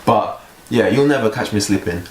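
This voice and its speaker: A young man vlogging in a casual, rambling way, with a mellow voice and a joking, self-mocking manner. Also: fairly low pitch, normal speed. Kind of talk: casual vlog monologue. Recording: echoey room with faint hiss.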